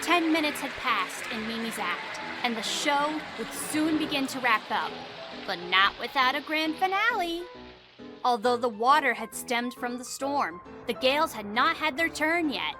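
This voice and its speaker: A woman reading scripted storybook narration for an audio drama in an expressive, dramatic storytelling manner. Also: high voice, measured pace. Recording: music behind the voice.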